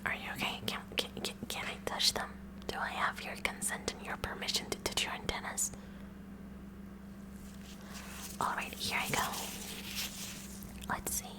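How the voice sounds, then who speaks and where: A woman voicing a kooky, curious teenage science club president in a breathy, halting whisper, for an ASMR roleplay. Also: lightly acted, low-quality recording. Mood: careful, curious. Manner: halting, rambling, repeats words.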